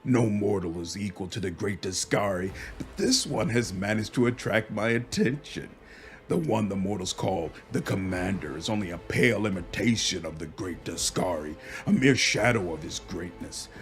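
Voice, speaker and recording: An actor playing an ancient, arrogant locust demon lord in a video game, rasping and speaking slowly and grandly. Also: male voice, sits low, expressive, faint music behind. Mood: contemptuous, grandiose. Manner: slow, grandiose, speaks of himself in third person. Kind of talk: video game villain acting.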